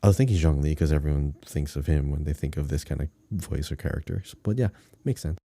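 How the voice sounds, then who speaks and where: Deep, mellow-voiced man chatting casually. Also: quick pace, vocal fry, no echo, studio quality. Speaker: podcast co-host. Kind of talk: casual game guessing chat.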